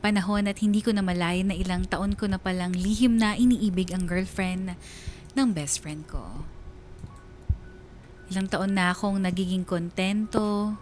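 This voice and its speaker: An actress playing a wistful young woman who narrates a confession in a measured, confiding radio drama style. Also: mid-range voice, darkish tone, natural voice. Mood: guilty, longing. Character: wistful young woman confessing secret love for best friend's girlfriend. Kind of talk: first-person radio drama letter narration.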